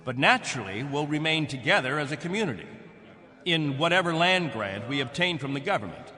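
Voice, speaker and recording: An actor playing a proud middle-aged leader of space colonists in a radio drama, formal, insistent and commanding. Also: male voice, a little high, sing-song, fairly quick, pushed and bright.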